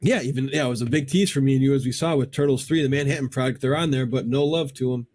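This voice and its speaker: A man's voice: mid-range voice, fast, darkish tone. A middle-aged man hosting a cartoon countdown show, chatting casually and enthusiastically as a fan.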